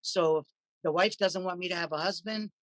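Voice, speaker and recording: A man's voice: high-pitched, fairly quick, a bit bright, dry recording. Man explaining with hesitant ums. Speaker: panel guest. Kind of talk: panel discussion answer.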